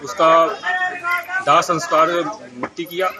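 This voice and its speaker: A man's voice: a little high, steady tone, a little slow. A man, a local villager, giving a plain unscripted account in short, broken phrases. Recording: very noisy outdoor clip with crowd.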